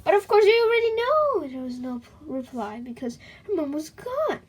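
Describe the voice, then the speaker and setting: A woman reading a children's book aloud in an expressive, dramatic way, with chatty asides to her young listeners. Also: high voice, moderate pace.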